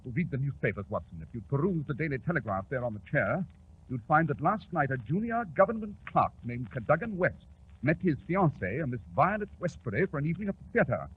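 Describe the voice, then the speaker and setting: An actor playing an incisive, self-assured British detective in precise, authoritative 1940s radio drama style. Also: male voice, medium pitch, very animated, fairly quick, close to an everyday voice. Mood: grave, precise. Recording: scratchy 1940s radio broadcast, narrow band.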